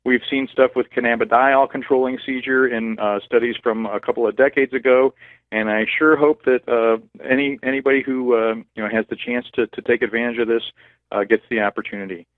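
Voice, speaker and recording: A man's voice: mid-range voice, fairly even intonation, dry recording. Man on a tinny phone line. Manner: measured, hedging, explanatory. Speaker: cannabis researcher guest. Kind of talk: phone-in expert interview. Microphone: telephone.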